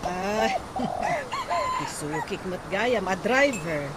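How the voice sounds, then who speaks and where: A woman's voice: medium pitch, wildly swooping pitch, drawn-out words, heavily acted. A woman acting a gracious, coy restaurant owner in an Ilocano radio comedy drama, with an Ilocano accent. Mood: coy, welcoming. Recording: very noisy ambience, roosters crowing.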